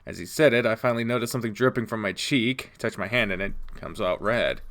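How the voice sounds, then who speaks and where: A man reading a visual novel game aloud, casual, jumping to high squeaks when surprised. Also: fast talker, fairly bright tone. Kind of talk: reading game text aloud with jokes aside.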